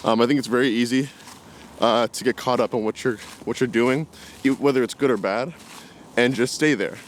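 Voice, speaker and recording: A man's voice: medium pitch, moderate pace. Rambling young man vlogging outdoors, background noise. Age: 20s. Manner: rambling, reflective, hesitant. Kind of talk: casual unscripted talk to camera. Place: outdoors, walking in woods. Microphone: camera carried while walking.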